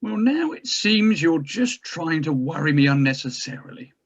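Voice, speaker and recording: Lo-fi audio, man acting nervous passenger, dry wit, animated pitch, drama read. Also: normal speed. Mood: uneasy.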